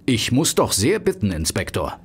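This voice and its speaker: A gentleman under suspicion in a radio drama. His husky voice is formal and indignant, defiantly loyal, with lively intonation. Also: medium pitch, normal speed, exaggerated voice. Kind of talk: German radio play acting.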